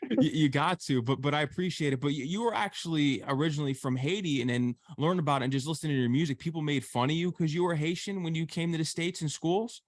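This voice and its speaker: A man's voice: on the high side, quick pace, dry recording, studio quality. A man hosting an interview show, asking eager questions with frequent stammered restarts. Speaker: radio show host, interviewer.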